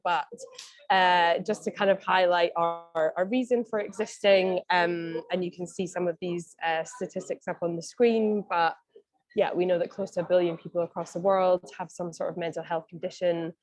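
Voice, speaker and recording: A woman's voice: lowish voice, a little mellow, no echo, poor audio. A young woman with a Scottish accent giving a chatty presentation on a video call from a cafe, with plenty of ums and restarts. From Scotland. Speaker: guest speaker, senior advisor.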